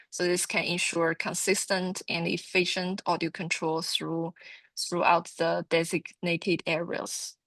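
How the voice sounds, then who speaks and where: Low-pitched woman presenter with a creaky voice. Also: fairly bright tone, no room sound. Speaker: product trainer presenting a company's devices. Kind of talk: informal product presentation.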